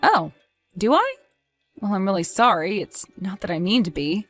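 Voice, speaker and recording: A woman acts out an evasive, cheerful wife in a story drama. She speaks at a middle pitch with polite, neatly phrased reassurance, and music plays faintly behind her. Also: sing-song, normal speed. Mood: defensive. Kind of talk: scripted dialogue in an audio drama story.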